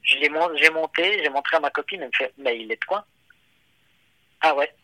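Swiss french guy, super bright tone, stops and restarts a lot, dry mic. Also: a little high, animated, fairly quick, dry recording, low-quality recording. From Switzerland. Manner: hesitant, many fillers and restarts. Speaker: watchmaker and guest. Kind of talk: casual interview.

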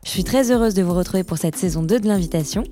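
A woman hosts a podcast in a lowish, mellow voice. She reads a polished introduction and then asks her guest friendly questions. Faint music plays behind her. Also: fast. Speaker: podcast host. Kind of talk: scripted intro, then interview chat.

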